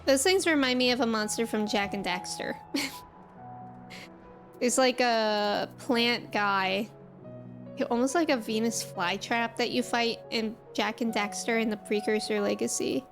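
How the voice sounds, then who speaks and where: A young woman streaming a game, chatting casually and excitedly with lots of "like"s. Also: on the high side, measured pace. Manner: chatty, excitable, says "like" a lot. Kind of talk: casual gameplay commentary. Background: game music.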